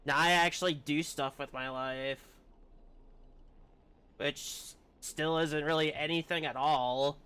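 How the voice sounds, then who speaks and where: A man with a fairly high, very smooth and clear voice talks casually on a game livestream. He sounds hesitant and confiding, speaking candidly and putting himself down as he goes. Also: steady tone, takes it slowly, long pauses, bright, forceful tone. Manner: hesitant, self-deprecating, confiding. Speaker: game streamer.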